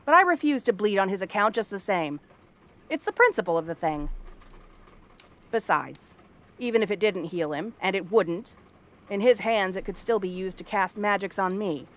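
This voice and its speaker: A woman's voice: medium pitch, animated, moderate pace, natural voice. A woman playing a cranky exiled princess turned frog girl in a fantasy ASMR roleplay, haughty, sarcastic and theatrical. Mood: irritated, dismissive. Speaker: voice actress.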